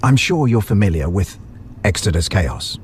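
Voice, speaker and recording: A man's voice: lowish voice, lively intonation, normal speed, a little mellow, slight background noise, close to an everyday voice. A man playing a maniacal butcher villain in an audio drama, theatrical and condescending in his delivery. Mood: dismissive. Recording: music under the voice.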